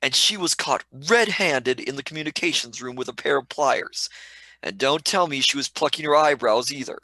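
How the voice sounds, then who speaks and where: A man plays a flippant young sidekick in a radio play. His voice is bright and sharp, recorded dry. He argues in colloquial wisecracks. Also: mid-range voice, moderate pace, no echo, low-quality recording. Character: flippant, cheeky, argumentative young companion. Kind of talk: radio play script reading.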